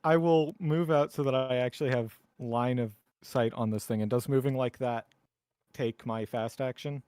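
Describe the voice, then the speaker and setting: A man with a clear, smooth voice chats casually as a player in a tabletop game. The sound is close and dry, with no room around him. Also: medium pitch, fairly even intonation, moderate pace, a little mellow, dry recording.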